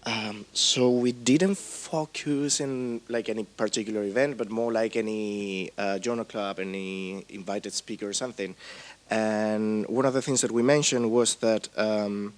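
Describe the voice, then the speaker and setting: A man with a very deep voice reports back from a small group at a workshop. He talks in a halting, rambling way, with many filler sounds. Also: steady tone. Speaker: workshop participant.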